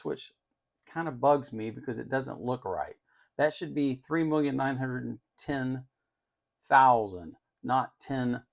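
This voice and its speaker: A man's voice: mid-range voice, creaky. A man hosting an unscripted tech demonstration, explaining step by step in an opinionated way with emphasis on key words. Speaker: host of an electronics channel.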